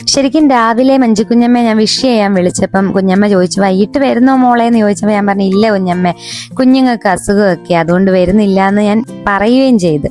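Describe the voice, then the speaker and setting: A woman's voice: medium pitch, fast talker, darkish tone. Chatty Malayali woman with music behind. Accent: Malayali from Kerala. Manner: chatty, conversational. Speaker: family vlogger. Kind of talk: casual vlog narration. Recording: background music under voice.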